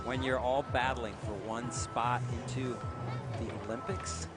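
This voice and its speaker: A man's voice: mid-range voice, little pitch movement, measured pace. A man co-commentating a live skateboarding event, chatty and asking joking questions. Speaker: sports commentator. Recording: music loud behind.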